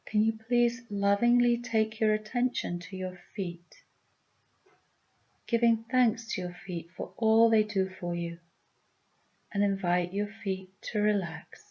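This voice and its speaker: A woman leading a guided meditation with gentle, soothing, deliberate instructions. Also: mid-range voice, fairly even intonation, muffled recording.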